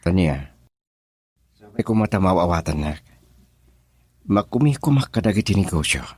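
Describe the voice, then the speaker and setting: An easygoing, attentive Filipino husband in a radio drama. His voice is deep and husky, with lively swings in tone. The sound is close and dry. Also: normal speed, dry recording, full character voice. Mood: gentle, teasing.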